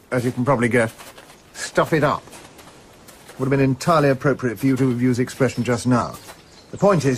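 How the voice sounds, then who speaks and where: A man's voice: medium pitch, a little hiss or hum, natural voice. Polite, drily candid English colonial official, acted by a man. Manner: polite, measured, dry. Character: suave, obliging, drily candid colonial secretary. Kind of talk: radio drama acting.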